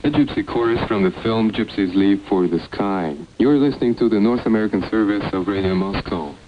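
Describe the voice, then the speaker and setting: A man's voice: on the low side, brisk, loud music behind the voice. A man announcing on a 1980s international shortwave service from a script. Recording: dull noisy 1980s shortwave recording.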